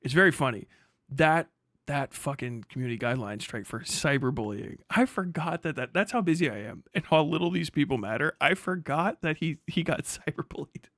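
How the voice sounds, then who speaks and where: A man's voice: fairly high pitch, lively intonation, brisk, a bit bright, no room sound, studio quality. A man livestreaming casual reaction commentary, swearing freely, mocking and often laughing as he talks. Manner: profane, mocking; laughs often while talking.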